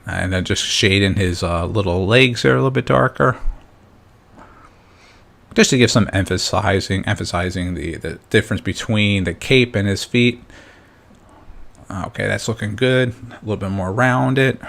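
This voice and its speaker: A man with a fairly low voice and plenty of vocal fry leads a drawing lesson. He talks casually, step by step, thinking aloud as he works. His manner is encouraging and informal. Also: normal speed, a bit bright. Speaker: drawing instructor and host.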